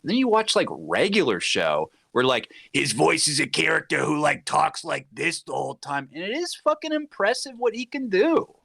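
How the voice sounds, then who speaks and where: A man's voice: a little high, normal speed, gravelly, hardly any pauses. A man chatting casually and jokingly about pop culture, often slipping into mock dialogue as he talks. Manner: animated, acts out mock dialogue mid-sentence. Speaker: podcast co-host or guest.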